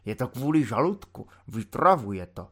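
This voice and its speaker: A man's voice: medium pitch, very animated, husky, pitched below the performer's own voice. A man reading an audiobook voices a drunk, maudlin middle-aged army chaplain who rambles, whines and pleads. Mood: pleading. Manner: slurred, whining, rambling drunk speech. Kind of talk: audiobook character voice.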